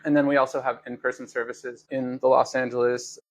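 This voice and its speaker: A man's voice: mid-range voice, level tone, a little fast. Earnest man explaining his company. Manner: earnest, thoughtful, many fillers and restarts. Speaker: podcast host, grower. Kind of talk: casual podcast explanation. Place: Los Angeles studio.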